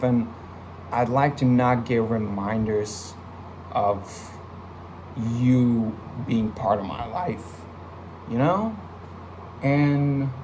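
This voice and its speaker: A man's voice: medium pitch, background noise. A young man giving a casual, reflective personal update from his home studio, with many fillers and restarts. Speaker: vlogger and musician.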